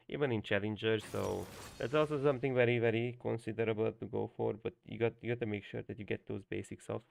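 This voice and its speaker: A man's voice: on the low side, creaky, a little hiss or hum. A young man whose first language is Hungarian, coaching on a live stream in loose, rambling talk full of fillers and restarts. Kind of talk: casual live-stream commentary.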